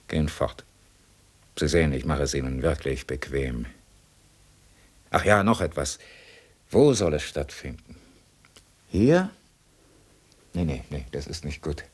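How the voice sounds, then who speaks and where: A cool criminal on the run in a sixties radio crime play. His deep voice swings widely in pitch and has a rough, husky edge. He is mockingly polite and unhurried as he schemes. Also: big pitch swings, full character voice. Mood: cool, scheming. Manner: suave, sardonic, unhurried. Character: escaped male criminal, cool, sly, mockingly courteous schemer. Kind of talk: 1960s German radio crime drama acting.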